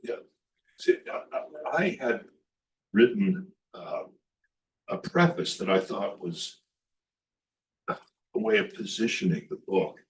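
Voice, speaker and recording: A poet from Los Angeles speaking at a book event, halting and repeating words as he starts each thought. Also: on the low side, very animated, distant mic, low-quality recording. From Los Angeles, California. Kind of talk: book event talk and reading.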